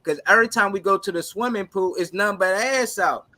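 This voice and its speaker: A man's voice: sits high, fairly quick, sharp tone. A man on a talk show panel chatting casually and arguing his points emphatically. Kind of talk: casual debate chat.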